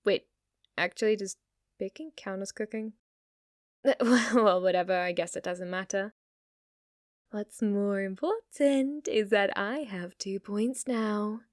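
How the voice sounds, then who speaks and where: A woman's voice: mid-range voice, slow, natural voice. A woman playing a playful, possessive, lovestruck witch in an ASMR roleplay, speaking to the listener in a teasing, cutesy way. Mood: smug, possessive. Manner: teasing, cutesy, swinging between coy murmurs and eager outbursts.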